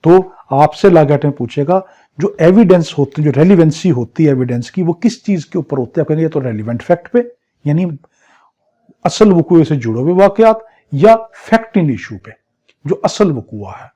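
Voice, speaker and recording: Mellow-voiced Pakistani man teaching, dull recording. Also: fairly high pitch, animated, moderate pace. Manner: repetitive, emphatic drilling with rhetorical questions. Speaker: law teacher. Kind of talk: exam crash-course lecture. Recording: dull, lo-fi muffled audio.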